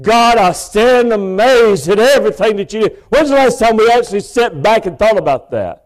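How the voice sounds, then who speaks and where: A man, preaching a sermon from a church pulpit microphone in a big, loud voice with folksy asides. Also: sits high, animated, moderate pace. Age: 50s. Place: church sanctuary.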